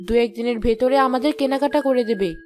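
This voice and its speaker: A demanding wife from a village cartoon, acted by a woman, speaks in a high voice. She is insistent and quick to complain, with clear background music under her. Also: lightly acted. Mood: annoyed, demanding. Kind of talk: Bengali moral-story cartoon voice acting.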